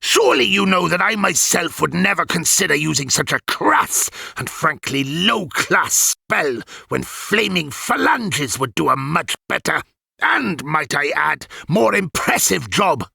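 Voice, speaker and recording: Grumpy elderly wizard mentor, pompous and exasperated, pitch swinging wildly, as a male narrator puts him on. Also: very animated, a little slow, creaky, bright, forceful tone, breathy edge, no room sound, heavily acted. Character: cranky fussy old male wizard mentor.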